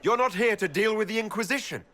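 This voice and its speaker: A man's voice: sits high, animated, fast talker, fairly bright tone. An actor playing a level-headed, defiant young male elf hero, plain-spoken and firm, in a fantasy video game.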